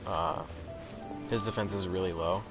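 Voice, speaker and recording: A young man with a low voice doing casual game commentary. He rambles, restarts and circles back on his words while loud music plays behind. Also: fairly even intonation, a little slow. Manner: rambling, hesitant, repeats himself. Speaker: gaming video creator.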